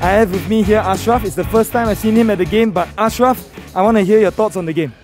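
A man's voice: high-pitched, fast, a little mellow, soft background music. A young Singaporean man presenting casual post-match fan interviews and commentary, speaking into a handheld phone outdoors at a stadium entrance. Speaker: fan channel host and interviewer. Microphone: handheld phone held near mouth.

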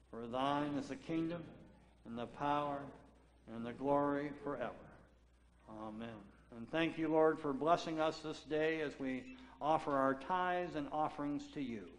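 A male pastor leading a solemn, measured congregational prayer in a large church sanctuary. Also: fairly high pitch. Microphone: far camera at back of sanctuary. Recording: echoey sanctuary from far off.

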